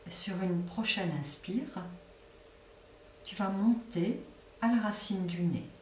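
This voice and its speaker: A woman leading a guided relaxation as a yoga nidra teacher, speaking softly and unhurriedly with long pauses between phrases. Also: medium pitch. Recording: roomy echo, distant mic, faint noise.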